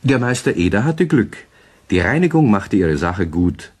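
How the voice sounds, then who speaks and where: A man's voice: fairly low pitch, very animated, normal speed, darkish tone, breathy edge. A man narrating a children's radio play as a genial storyteller, in scripted storybook style. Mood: knowing.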